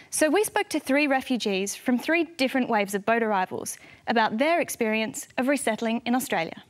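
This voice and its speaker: A young woman presenter in her twenties introducing a news segment in a clear, scripted way. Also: fairly high pitch. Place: TV studio set.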